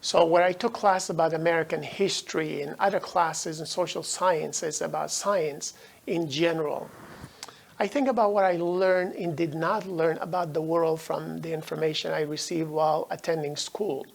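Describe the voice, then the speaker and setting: A middle-aged man from Afghanistan, a native Dari speaker, giving a measured, explanatory recorded lecture as a professor. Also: on the high side, steady tone, vocal fry.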